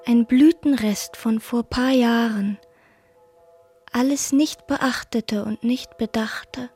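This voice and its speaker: Breathy, high, slow poetic female voice. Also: fairly even intonation, mellow. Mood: tender, wistful. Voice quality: breathy, half-whispered. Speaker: radio play actress. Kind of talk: poetic recitation in a radio drama. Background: faint music.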